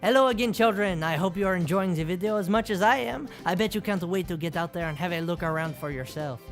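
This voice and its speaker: An actor playing a proud, wealthy 1800s Basque rancher guiding a museum tour, theatrical and formal, with a Basque accent. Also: male voice, sits high, fast talker, faint music behind. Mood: grand welcoming. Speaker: museum guide in character. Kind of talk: scripted educational skit.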